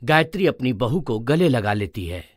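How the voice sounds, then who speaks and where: A man's voice: medium pitch, big pitch swings, normal speed, no room sound, clean studio sound. A man narrating a scripted family story as its storyteller.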